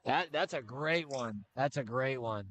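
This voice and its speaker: A middle-aged man doing casual play-by-play for a high school basketball game, chatty and joking, with filler pauses. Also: fairly bright tone. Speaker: play-by-play commentator.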